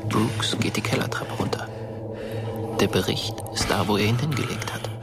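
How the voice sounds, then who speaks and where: A man's voice: lowish voice, normal speed, in a whisper, close to an everyday voice. An actor playing a dead teenage boy who watches and narrates as a ghost in a radio play. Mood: watchful. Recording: studio radio play under loud music.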